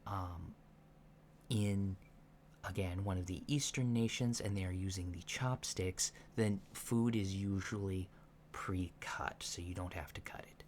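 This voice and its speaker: Soft spoken guy doing asmr roleplay training, really slow n gentle, bit of background noise. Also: low-pitched. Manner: measured, hesitant, explanatory, often pausing mid-sentence. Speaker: ASMR roleplay performer playing a training instructor.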